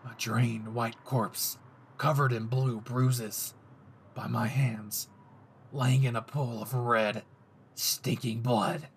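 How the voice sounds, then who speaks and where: A man performs a possessive, menacing young anime hero in an audio roleplay. The voice is low and growling, and the delivery is slow and threatening. A noisy ambience sits behind it. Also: flat delivery, natural voice. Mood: cold threat.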